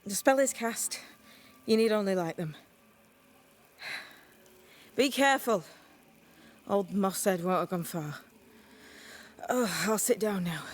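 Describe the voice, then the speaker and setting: A woman playing a blunt, sly young witch's novice in a video game, wry and teasing in a deadpan way. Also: mid-range voice, slow pace, long pauses. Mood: breezy. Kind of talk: video game cutscene acting.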